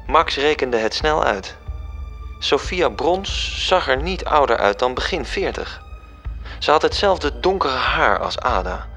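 A formal, self-assured angel narrator. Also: male voice, medium pitch, very animated, measured pace, sharp tone, soft background music, heavily acted. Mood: composed. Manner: formal, precise, composed. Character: formal, self-assured male angel recounting his heavenly plan. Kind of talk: scripted radio play narration.

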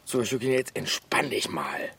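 An actor playing an easygoing, placating middle-aged husband in a naturalistic radio drama, expansive and a little pompous. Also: male voice, medium pitch, very animated, moderate pace, vocal fry, fairly bright tone, slight background noise. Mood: soothing, grandiose. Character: easygoing, soothing husband, grandly sentimental and wry.

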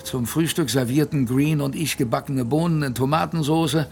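A man's voice: fairly high pitch, moderate pace, vocal fry, darkish tone, a little hiss or hum. An actor playing a young polar expedition sailor, telling his survival story in a colloquial, wry radio play narration. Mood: wry, recounting.

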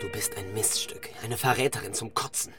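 An actor playing a defiant but insecure young petty thief, sullen and slangy, in a German crime radio play. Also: male voice, on the low side, fairly quick, over loud music, lightly acted. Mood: bitter urgency.

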